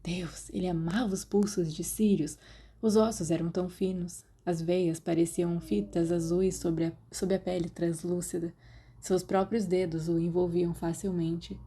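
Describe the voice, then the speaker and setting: Podfic narrator: Brazilian-accented woman, slightly low pitch, very soft mellow tone, scripted storytelling. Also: flat delivery, measured pace, slightly rough.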